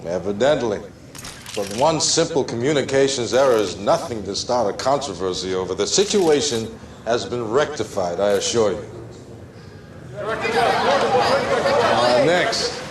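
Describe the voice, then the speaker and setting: An actor playing a smooth, pompous, ambitious politician in a video game cutscene, speaking with polished emphasis. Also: male voice, mid-range voice, sing-song, unhurried, pauses often, noisy background. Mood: evasive, defensive.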